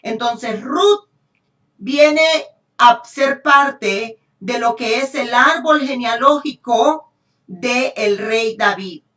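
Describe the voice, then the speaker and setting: Slow, high-voiced Honduran woman preaching. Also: fairly bright tone. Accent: Honduran Spanish. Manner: emphatic, repeats phrases, checks in with rhetorical questions. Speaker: preacher teaching a congregation. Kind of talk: sermon, Bible teaching. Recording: echoey room, distant microphone.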